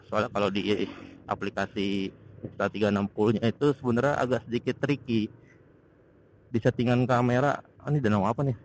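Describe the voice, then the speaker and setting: A man, rambling casually and searching for words as he vlogs from a motorcycle. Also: mid-range voice. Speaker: motovlogger. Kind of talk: casual vlog chat while riding. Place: outdoors on a motorcycle on the road. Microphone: helmet intercom microphone over Bluetooth. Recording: noisy wireless helmet intercom microphone outdoors. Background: wind and road noise.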